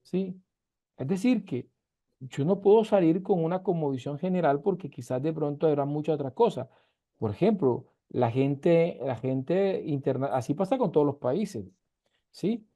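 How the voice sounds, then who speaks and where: Emphatic Colombian coastal lecturer, dark tone. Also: male voice, on the high side, lively intonation, normal speed. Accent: Colombian Caribbean coast. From Caribbean coast of Colombia. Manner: emphatic, full of rhetorical questions. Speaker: university lecturer. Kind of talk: online class lecture. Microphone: video call.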